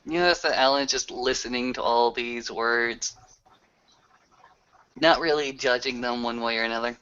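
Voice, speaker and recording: A man with a bright, forceful voice takes part in a tabletop roleplay. His pitch is average and his phrasing formal and halting. The recording is slightly dull. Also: smooth. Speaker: roleplaying game player.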